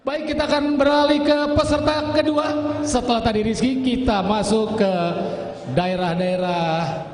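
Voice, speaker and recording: Very high-voiced teasing emcee, echoey hall. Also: male voice, fairly even intonation, a little slow. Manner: playful, teasing the contestant. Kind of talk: teasing stage emcee banter. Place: large indoor hall on stage. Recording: echoey hall with long reverb and a crowd.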